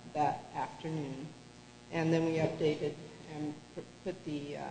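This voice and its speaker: A woman city clerk giving a report at a council meeting, steady but full of "uh" fillers. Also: low-pitched. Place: council chamber. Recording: echoey hall, faint hum.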